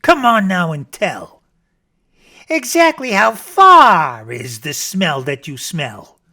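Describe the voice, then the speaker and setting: Cocky little bragging rabbit, played by a male reader: snappish storybook animal, pitched high, bright and sharp. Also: wildly swooping pitch, takes it slowly, full character voice. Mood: challenging. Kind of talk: theatrical children's storybook reading.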